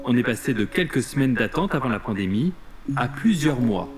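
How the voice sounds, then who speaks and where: A man's voice: mid-range voice, expressive, moderate pace, crowd noise. A man reading scripted narration for an investigative television news report.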